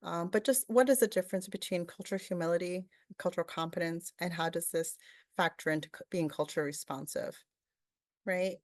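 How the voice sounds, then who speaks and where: A woman's voice: fairly low pitch, fairly quick, dry recording, studio quality. A woman from Peru giving a webinar presentation, thoughtful and full of fillers, often asking "right?".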